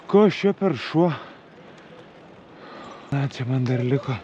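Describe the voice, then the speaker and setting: A man's voice: medium pitch, expressive, dull audio. Chatty Lithuanian man on a bike. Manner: chatty, friendly, quick reactions. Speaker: cycling vlogger. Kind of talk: casual chat to camera while cycling. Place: outdoors on a hilly coastal road. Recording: outdoor road and wind noise.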